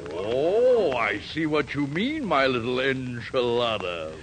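A man's voice: medium pitch, sing-song, slow, rough recording. A man in a 1940s radio sitcom, playing a hot-tempered, lecherous costume judge who is pompous and oily. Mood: oily, flirtatious. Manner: pompous, oily chuckling flirt who blusters. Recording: hissy 1940s radio recording.

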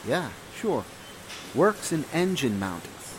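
A man's voice: a little high, very animated, slow, exaggerated voice. A man acting a plain, good-natured, folksy factory worker in a 1940s-style radio drama. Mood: puzzled. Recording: clear background noise.

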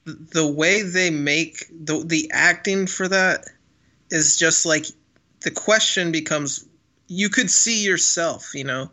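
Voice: medium pitch, slow, pushed and bright. A podcast co-host chatting informally and reacting to a film, chiming in on the story. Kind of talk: casual chat, film reaction.

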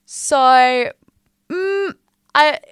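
A woman's voice: high-pitched, very slow, fairly bright tone, no echo, clean studio sound. A woman of twenty, hosting her own podcast and talking in a casual, earnest way, full of "like" and "um", alone at a mic in a small room. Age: 20s. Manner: chatty, earnest, lots of fillers like "like" and "um". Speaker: podcast host. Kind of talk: casual solo podcast chat. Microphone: podcast microphone.

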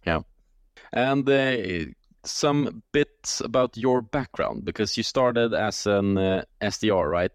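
A man's voice: fairly low pitch, animated, slow pace. A man hosting a podcast, reading a scripted intro, then asking questions hesitantly with many filler sounds. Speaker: podcast host.

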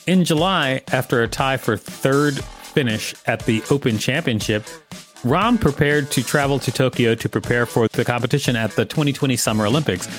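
A man's voice: mid-range voice, normal speed. Male co-host reading over background music. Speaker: podcast co-host reading an article aloud. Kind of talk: read-aloud narration with casual banter.

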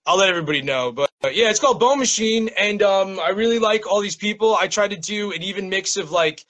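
A man's voice: high voice, quick pace, sharp tone. A man chatting casually as an interview guest, rambling and breaking off his sentences with plenty of "like". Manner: rambling, self-interrupting, frequent "like". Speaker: interview guest, poet and small-press publisher.

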